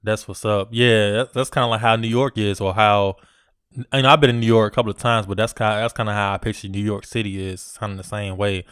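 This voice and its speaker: Male podcast host, low-ish smooth voice, laid-back and filler-heavy, dry close mic. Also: fairly quick, no room sound. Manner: relaxed, filler-heavy, friendly shout-outs. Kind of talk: casual podcast interview. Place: Virginia.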